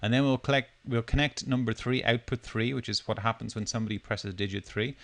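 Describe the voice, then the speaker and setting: A man presenting a software demo, talking through it step by step in a loosely unscripted, explanatory way. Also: medium pitch, fairly even intonation, a little fast, gravelly. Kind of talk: step-by-step screencast tutorial narration.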